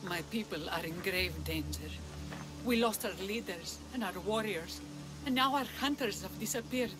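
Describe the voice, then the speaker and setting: A woman's voice: mid-range voice, animated, normal speed, music in the background, natural voice, low-quality recording. An actress playing a worried, dignified female magistrate of an ancient Greek village in a video game, formal and earnest. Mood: grave, anxious. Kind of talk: video game cutscene acting.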